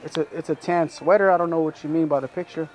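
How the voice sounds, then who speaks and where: A man's voice: on the high side, steady tone, fast, darkish tone, soft background music, rough recording. A man in casual improvised prank banter, playing a store employee, insistently prodding and repeating himself. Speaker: prankster posing as store employee with walkie-talkie.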